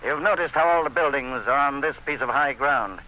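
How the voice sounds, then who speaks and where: A man acting as a sardonic, supremely confident plantation boss in a radio drama, with a brisk, cocky, commanding manner. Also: mid-range voice, pushed and bright, dull audio, natural voice. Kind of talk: theatrical radio drama dialogue. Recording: noisy radio drama recording with ambience.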